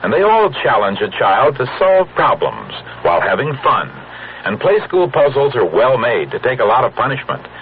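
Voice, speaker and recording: A man's voice: medium pitch, sing-song, moderate pace. A man working as a commercial announcer, reading a scripted vintage radio advertisement. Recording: muffled old radio recording with hiss.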